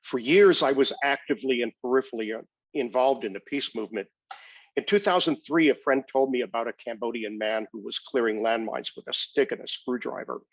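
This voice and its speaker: Older american guy, bit raspy, measured prepared talk, muffled lo-fi audio w no room sound. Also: medium pitch, no room sound. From United States. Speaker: humanitarian aid worker, guest speaker. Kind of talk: prepared personal account.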